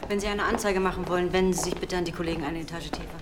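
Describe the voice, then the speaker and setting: An actress playing a sharp, proud young woman working for the police in a radio drama, curt and confrontational. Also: lowish voice, steady tone, rapid-fire, background noise, lightly acted. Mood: cool, curt. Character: sharp, proud young female police employee, easily angered.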